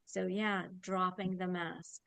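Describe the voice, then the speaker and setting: A woman in her forties with a Romanian accent, an executive coach answering in a podcast interview, halting and restarting phrases as she thinks. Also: on the low side, level tone, creaky, fairly bright tone, clear, resonant tone, no room sound.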